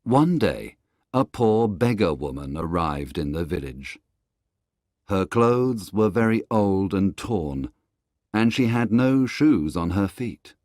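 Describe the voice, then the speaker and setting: Male narrator, low pitch, scripted storybook reading, dry studio-like sound. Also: darkish tone, no echo.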